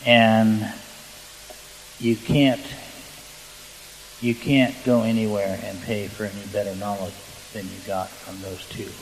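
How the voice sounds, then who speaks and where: Male panel speaker, flat pitch range, halting, rambling and jokey, off-the-cuff, hissy recording. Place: large conference hall, through PA. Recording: hissy conference hall, long reverb.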